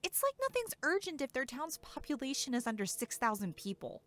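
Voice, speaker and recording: A woman acting as a sharp-tongued, sarcastic young camp counselor in an audio drama. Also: high-pitched, big pitch swings, fairly quick. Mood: exasperated, sarcastic.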